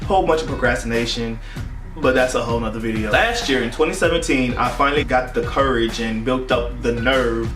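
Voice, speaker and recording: The recording is echoey, with music behind the voice. A young man speaks at a middling pitch. He tells his story casually and dramatically, with playful, slangy turns of phrase, as a vlogger would. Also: brisk. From United States. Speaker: YouTube vlogger. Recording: roomy echo, distant mic, music behind.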